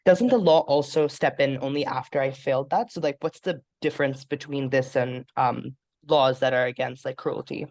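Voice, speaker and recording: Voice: mid-range voice, moderate pace, darkish tone. An interviewer asking long, thoughtful questions, hedging with many fillers. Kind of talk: conversational interview.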